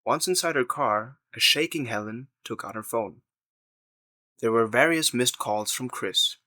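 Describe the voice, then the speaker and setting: A man's voice: medium pitch, expressive, a little slow, bright, forceful tone, no echo. A man narrating a scripted story in the third person as the narrator of an audio drama.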